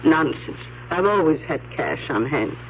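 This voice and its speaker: Halting, fragile old aunt, tinny radio. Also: female voice, sits low, big pitch swings, slight background noise. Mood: subdued, composed. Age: older. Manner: halting, stammering, repeating words. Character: grieving, stubborn, fragile aunt in her sixties or older. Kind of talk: old-time radio drama acting. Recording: thin band-limited radio recording with music.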